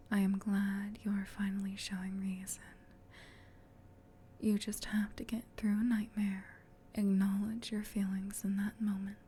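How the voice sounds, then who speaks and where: A gentle, caring female sleep paralysis demon, acted by a woman whispers softly in a comforting ASMR roleplay. Her delivery is slow and even, breathy and tender, close to the mic. A faint low rumble sits behind her. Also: close to an everyday voice. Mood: calm, reassuring. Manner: tender, reassuring, unhurried.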